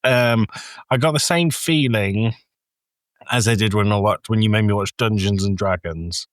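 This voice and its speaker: A man's voice: on the low side, moderate pace, no room sound. A man co-hosting a podcast, giving blunt, informal film opinions in casual chat. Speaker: podcast co-host.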